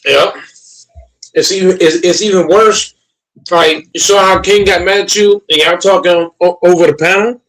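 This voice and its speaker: A man's voice: high voice, steady tone, normal speed, fairly bright tone, low-quality recording. A man guest in a livestream debate, talking earnestly and repeating himself.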